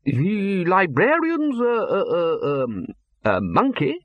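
A man reading an audiobook voices a gruff, blunt old male wizard chief who barks short phrases. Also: fairly high pitch, very animated, a little slow, dry recording, lo-fi sound, voice pushed up, heavily acted. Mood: puzzled. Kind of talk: audiobook character voice.

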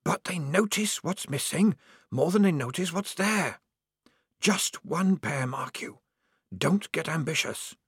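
A man voicing an unseen, conspiratorial stranger in an audiobook, giving patient, wry advice in a hoarse, hushed whisper. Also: sits high, huge pitch swings, extremely slow, long pauses, no echo, studio quality, voice pushed up, heavily acted. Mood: wry advising. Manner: patient, hushed, matter-of-fact. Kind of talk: audiobook character voice.

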